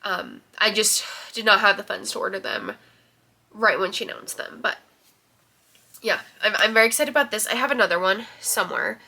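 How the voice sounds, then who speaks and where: A woman's voice: mid-range voice, expressive, moderate pace, vocal fry, sharp tone. A young woman with a stuffy, nasal voice from a head cold, chatting casually and rambling a little in a book vlog. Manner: chatty, rambling, many ums and likes. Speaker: book vlogger. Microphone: camera in her room.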